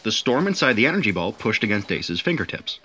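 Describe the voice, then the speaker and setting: A man playing a brisk aide who narrates details in a comic sci-fi audio drama. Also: lowish voice, very animated, noisy background. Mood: brisk, explanatory.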